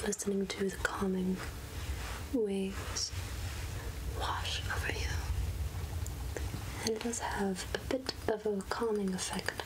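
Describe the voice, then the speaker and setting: A woman playing a soothing, wry outcast witch healer in a soft, whispered ASMR roleplay, speaking gently with musing pauses. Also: mid-range voice, noisy background, natural voice.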